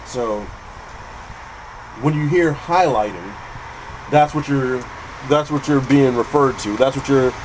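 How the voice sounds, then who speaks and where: A man with a stuffy, congested voice from a cold, calmly explaining a painting technique step by step with frequent restarts. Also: medium pitch, a little hiss or hum, rough recording. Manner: calm, methodical, with frequent restarts. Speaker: custom figure painter teaching. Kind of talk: painting tutorial narration.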